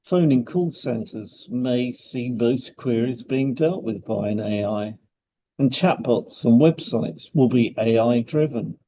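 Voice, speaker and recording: A man's voice: mid-range voice, slow pace, mellow, highs cut off, a little hiss or hum. A man co-hosting a podcast, explaining things in a semi-scripted discussion. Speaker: podcast co-host and hypnotherapist.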